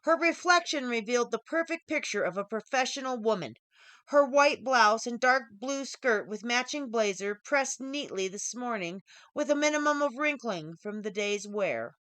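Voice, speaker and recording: A woman's voice: fairly high pitch, lively intonation, normal speed, a bit bright, no echo. A woman author reading her own short story as scripted audiobook narration.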